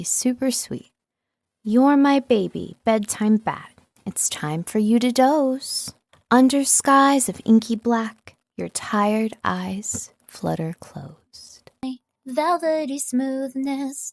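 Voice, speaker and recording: A woman with a fairly high voice reads a script as a professional narrator. Her delivery is measured and clearly articulated. The recording is very dry, with no room sound around the voice. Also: no echo. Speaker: voice-over artist and narrator.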